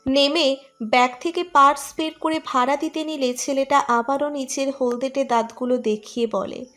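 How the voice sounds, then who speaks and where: A woman's voice: sits high, fairly even intonation, normal speed, fairly bright tone, faint music behind. A woman reading a scripted romantic story as narrator.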